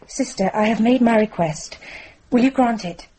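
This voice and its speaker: A woman's voice: a little high, moderate pace, dark tone, slight background noise, natural voice, poor audio. An actress playing a headstrong, anguished young British noblewoman in a medieval radio drama, speaking formally and insistently. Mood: resolute. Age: young adult.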